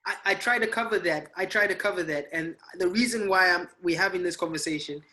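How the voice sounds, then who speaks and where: A man hosting a casual podcast, upbeat and relaxed as he leads the talk with easy questions. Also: high voice, fairly quick. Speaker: podcast host.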